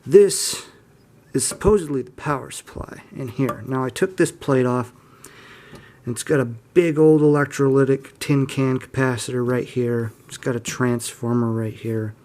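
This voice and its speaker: Male hobbyist host, early twenties. Mid-range pitch, informal and unscripted, readily admits what he doesn't know. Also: moderate pace, mellow. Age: early 20s. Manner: unscripted, unsure, self-deprecating. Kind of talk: casual informal show-and-tell.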